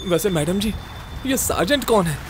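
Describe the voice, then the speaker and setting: A man's voice: high voice, lively intonation, moderate pace, background noise. A man playing a flustered but polite comic hero in a horror-comedy audio drama. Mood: placating.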